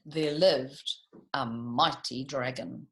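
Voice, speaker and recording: A woman reading picture books aloud to young children in an expressive way, with chatty asides and little tag questions. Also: rumbling low, slow, slightly rough. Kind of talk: animated picture-book read-aloud with asides to young listeners.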